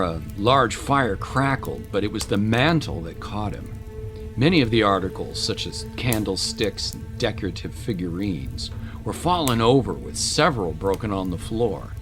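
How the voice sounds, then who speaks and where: Guy narrating an audio tale, lowish voice, dramatic read, music clearly behind him. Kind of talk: scripted dramatic story narration.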